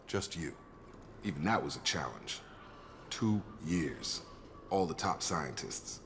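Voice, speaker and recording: A low-pitched American soldier, loyal and steady, talking in a matter-of-fact, informal way. It is game dialogue with clear background noise. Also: male voice, slow pace, full character voice. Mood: matter-of-fact, explaining. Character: steady, loyal male soldier and station security chief.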